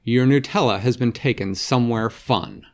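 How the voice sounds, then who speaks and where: A man's voice: medium pitch, normal speed, lightly acted. A man voicing a confident girl detective in a storybook, matter-of-fact in manner.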